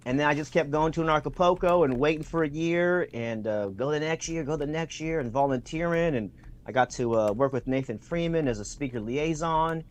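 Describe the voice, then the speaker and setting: A man's voice: a little high, darkish tone. A man talking as a guest over a video call, enthusiastic and full of fillers, acting out what people said in his stories. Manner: enthusiastic, acts out quoted remarks, many fillers. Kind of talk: conversational interview storytelling. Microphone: remote video call.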